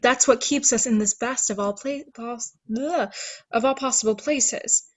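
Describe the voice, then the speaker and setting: Woman as pious saint, high husky voice, serene, formal, preachy, stage play table read. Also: a little slow. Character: pious, serene female saint trusting in God.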